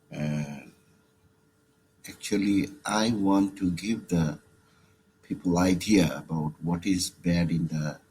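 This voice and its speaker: A man with a Bangladeshi accent leaving a personal voicemail message, with halting, hesitant phrasing. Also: low-pitched, a little mellow. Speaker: caller. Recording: noisy voicemail recording.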